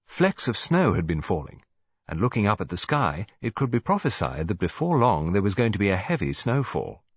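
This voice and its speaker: An English man narrates a story as an audiobook reader. His voice is fairly low and husky, with animated intonation. The recording is slightly dull. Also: moderate pace.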